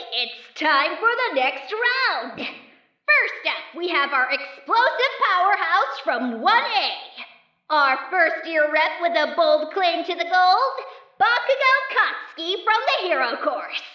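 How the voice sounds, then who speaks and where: A woman voicing a loud, excited male stadium announcer who draws out the contestants' introductions in a hyped showman style, in an echoey, distant, muffled recording. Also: very high-pitched, pitched above the performer's own voice, heavily acted. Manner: hyped, drawn-out showman delivery.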